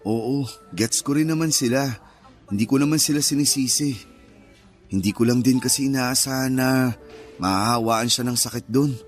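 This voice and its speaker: A man's voice: medium pitch, normal speed, long pauses, close to an everyday voice. A man with a Filipino accent acting as a caring, patient young husband in a radio-style romance drama. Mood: calm, concerned. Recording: music under the voice.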